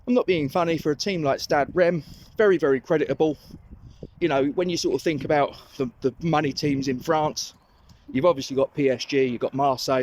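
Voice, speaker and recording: A man's voice: a little high, a little mellow, slight background noise. A man with a London accent hosting a fan channel, talking in a rambling, self-correcting way while out walking his dog. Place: outdoors on a dog walk.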